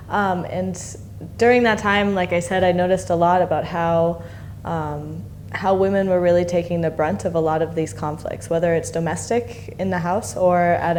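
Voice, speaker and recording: A young woman in her twenties answering interview questions thoughtfully, with frequent pauses and ums. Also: fairly low pitch, a little mellow, a little hiss or hum. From United States. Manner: thoughtful, hesitant with many ums. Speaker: peace fellow.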